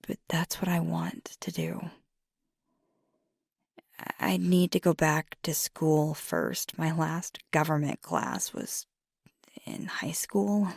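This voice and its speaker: A low, gravelly, breathy woman acting as a young werewolf girlfriend in an ASMR roleplay. She speaks softly and unhurriedly, often slipping toward a whisper, earnest and hesitant as she confides. The sound is very dry and close. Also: dry recording, heavily acted. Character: fiery young adult werewolf girlfriend, earnest, torn, tender. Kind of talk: intimate ASMR roleplay, soft half-whispered close speech.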